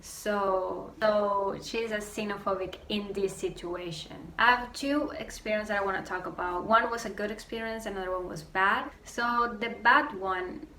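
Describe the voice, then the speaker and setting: Young venezuelan woman ranting to camera, bright voice, roomy echo, bit far from the mic. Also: medium pitch. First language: Spanish. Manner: quick, animated storytelling with many fillers. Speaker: vlogger. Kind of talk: casual rant to camera. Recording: roomy echo, distant mic, faint noise.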